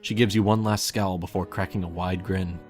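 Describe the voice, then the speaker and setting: Low-pitched man narrating a story. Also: steady tone, normal speed, darkish tone. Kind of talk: scripted fan fiction narration. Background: music.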